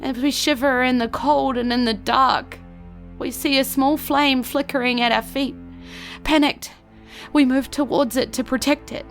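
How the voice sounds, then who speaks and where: A high female voice delivers dramatic scripted narration very slowly, with an ominous, measured feel. Music plays behind her as she tells the story. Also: fairly even intonation.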